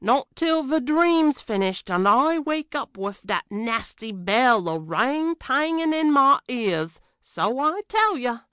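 A stubborn female cook from a storybook, with a working-class London accent. Her voice is high and very lively, drawing out words emphatically at a slow pace. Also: smooth, no echo, studio quality, heavily acted. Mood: firm, defiant. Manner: emphatic, drawn-out, colloquial.

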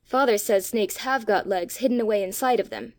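Hasty storybook boy, played by a male narrator, high and dry. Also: vocal fry, dry recording. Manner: hasty, quick delivery. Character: young boy, quick and hasty.

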